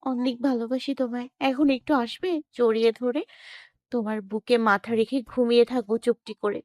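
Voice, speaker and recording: A woman's voice: on the high side, steady tone, moderate pace, a little mellow, no room sound, lightly acted. A woman playing a lovesick, clingy young woman with a pleading, childlike insistence in an audio love story.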